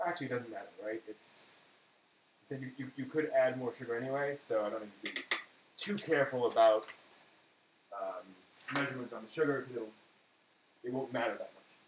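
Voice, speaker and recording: A man's voice: medium pitch, brisk, pauses often. A man hosting a casual cooking demonstration, rambling and correcting himself as he talks through the steps while he works. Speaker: live stream host. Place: indoor kitchen at a counter. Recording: echoey room, clear background noise.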